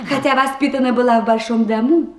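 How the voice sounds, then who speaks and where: An actress playing a spirited, coy young bride in a theatrical verse radio comedy, declaiming playfully and eager to please. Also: female voice, on the high side, fairly even intonation, a little fast, natural voice. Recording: roomy archive radio play recording.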